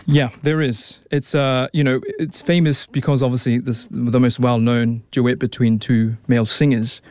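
A man's voice: mid-range voice, little pitch movement, normal speed, darkish tone. A young man with a New Zealand accent, an opera tenor chatting as a radio guest, with lots of restarts and fillers. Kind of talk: relaxed radio interview.

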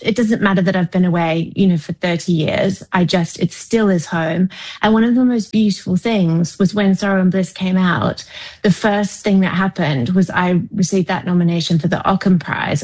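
A woman's voice: medium pitch, darkish tone. A woman in her forties from New Zealand, a novelist guest speaking in a wry, reflective, articulate way with frequent "you know" asides. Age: 40s. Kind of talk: casual interview conversation.

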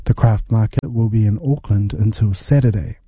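A man narrating a radio feature report in a measured, scripted way. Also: on the low side, mellow, breathy edge, no echo, clean studio sound.

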